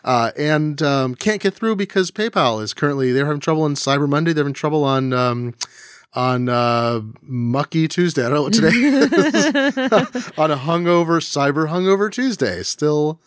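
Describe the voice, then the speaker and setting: A man in his forties co-hosting a podcast, chatting casually and animatedly, jumping high in pitch for jokes. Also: lively intonation, moderate pace, clear, resonant tone, no echo, clean studio sound. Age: 40s. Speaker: podcast co-host. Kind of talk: casual chat.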